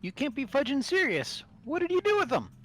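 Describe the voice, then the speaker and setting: A man's voice: very high-pitched, huge pitch swings, fairly quick, full character voice. A man voicing an irritated, self-righteous male student who scolds and accuses in an amateur game dub. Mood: indignant. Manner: loud, accusatory, scolding with childish swearing substitutes. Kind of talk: amateur fully voiced game playthrough.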